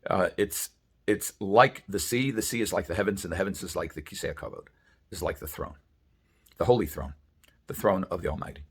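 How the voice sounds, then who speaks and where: A man speaking as a rabbi giving a religious sermon, explaining sources in a halting, deliberate way with frequent hesitations. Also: low voice, husky.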